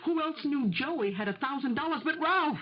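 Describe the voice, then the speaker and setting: A woman's voice: high-pitched, animated, normal speed. An actress playing a determined, pleading middle-aged mother in a 1970s radio mystery, insistent and emphatic. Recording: dull, hissy old radio broadcast.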